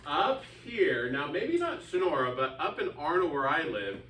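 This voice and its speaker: A man's voice: a little high, very animated, normal speed, fairly bright tone. A man teaching an elementary class informally, chatting and breaking off to correct pupils. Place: indoor classroom. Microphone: distant room microphone. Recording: roomy, distant mic, faint background noise.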